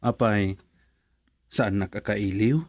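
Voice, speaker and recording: A man's voice: lowish voice, fairly even intonation, slow, a little mellow, no room sound. A man with an Ilocano accent playing an earnest, persistent young boyfriend in a romantic radio drama. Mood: affectionate, teasing.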